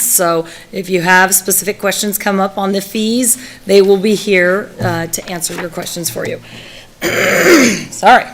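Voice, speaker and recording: A woman, a municipal services director, presenting a staff report at a city council meeting, her voice hoarse from seasonal allergies and broken by throat clearing and fillers. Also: mid-range voice.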